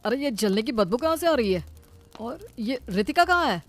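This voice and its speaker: A woman's voice: fairly high pitch, normal speed. A woman voicing an exasperated young husband in a cartoon story, fussy and incredulous but indulgent. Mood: puzzled. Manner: incredulous, fussy, explaining patiently when calm. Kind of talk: Hindi cartoon storybook dialogue.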